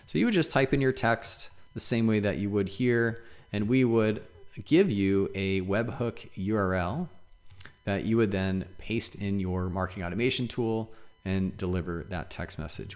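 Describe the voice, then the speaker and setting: A man's voice: low-pitched, measured pace. A man giving a casual, conversational product walkthrough as a demo presenter, explaining step by step and checking in with short tag questions.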